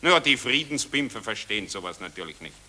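An actor playing a smug, cold-hearted army officer with a Viennese accent, talking in a nonchalant, affected way in a satirical radio play. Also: male voice, fairly low pitch, big pitch swings, a little fast, pushed and bright, full character voice. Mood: blasé, gossipy. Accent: Austrian, Viennese.

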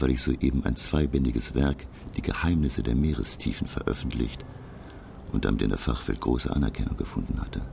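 Very deep, gravelly professor narrator. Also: male voice, background noise, exaggerated voice. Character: learned, self-assured French professor recounting his expedition. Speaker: narrator and lead character. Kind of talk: dramatic scripted narration. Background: music.

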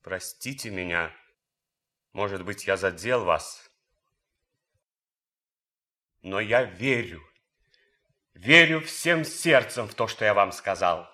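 German officer, idealist composer, lowish pitch, very slow, earnest, theatrical, radio drama. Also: male voice, expressive, pushed and bright, exaggerated voice. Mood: fervent, apologetic. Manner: earnest, deliberate, theatrical delivery.

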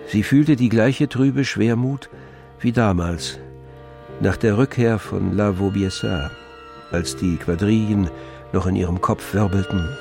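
A man narrating a radio play in a measured, grave, literary style. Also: on the low side, gravelly, darkish tone, soft background music.

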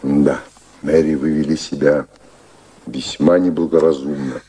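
An actor playing a devoted, proper older gentleman in a radio play, with a husky voice and a courtly, halting manner, earnestly repeating himself. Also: rumbling low, fairly even intonation, exaggerated voice. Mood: shaken, hesitant.

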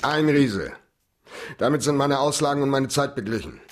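An actor playing a tough, cynical private detective in a radio crime drama, talking in a blunt, cool, slangy way. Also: male voice, medium pitch, very animated, normal speed, no room sound, studio quality, lightly acted. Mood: cool, businesslike.

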